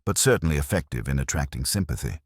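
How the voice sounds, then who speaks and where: A man playing a wry, analytical police inspector who speaks deliberately in a mystery story. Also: extremely low pitch, animated, dry recording, studio quality. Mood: wry, measured.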